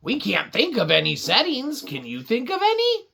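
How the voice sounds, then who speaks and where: A woman hosting an interactive children's story show, animated and exclamatory, reacting big and asking for ideas. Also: a little high, normal speed, creaky, slightly rough. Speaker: puppet show host and storyteller.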